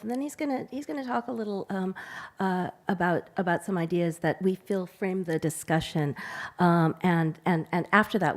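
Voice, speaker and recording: A woman moderating a conference panel who introduces the next speaker in a halting way, repeating words. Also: on the low side, darkish tone. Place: large conference hall.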